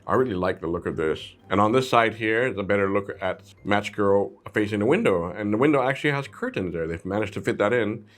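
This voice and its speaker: A man's voice: lowish voice, lively intonation, fairly quick, few pauses. A man hosting a casual, chatty product review, talking informally and playfully straight to his viewers. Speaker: host of a brick-set review channel.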